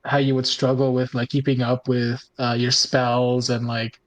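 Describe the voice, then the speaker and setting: A podcast player with a medium-pitched voice speaks in casual conversation. The delivery is that of informal panel chat among players. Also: level tone, moderate pace. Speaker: podcast cast member and player.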